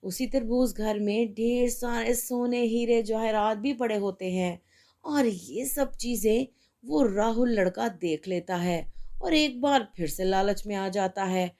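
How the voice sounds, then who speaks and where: A woman's voice: a little high, slow pace, dark tone. A woman narrating a scripted children's fairy tale as the storyteller.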